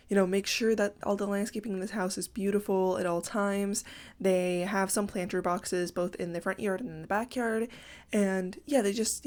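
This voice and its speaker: A young woman talks in a slightly raspy, congested morning voice that sits a little low for her. She rambles casually and corrects herself often, sounding a touch apologetic. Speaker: game building creator. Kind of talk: casual voice-over commentary.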